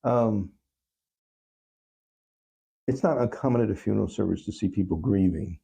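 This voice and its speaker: Guy being interviewed, lowish creaky voice, earnest and halting, telling personal stories. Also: warm tone. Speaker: talk show guest, songwriter. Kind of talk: reflective personal storytelling in an interview.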